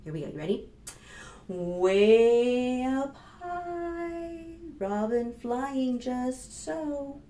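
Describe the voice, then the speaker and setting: An American woman leads storytime for young children with a fairly high, very animated delivery. Her tone is on the soft, mellow side as she explains and reads aloud clearly for little listeners. The small room adds echo, with noticeable background noise. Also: very slow. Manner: expressive, instructive, addressing young children with questions. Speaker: children's librarian and storytime host. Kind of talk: read-aloud and rhymes for preschoolers. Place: small plain indoor room.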